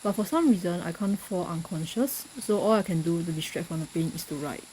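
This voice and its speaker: A woman's voice: fairly low pitch, brisk, warm tone, slight background noise, low-quality recording. A woman casually playing a game, reading on-screen text aloud and commenting as she goes. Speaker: gamer playing on a recorded session.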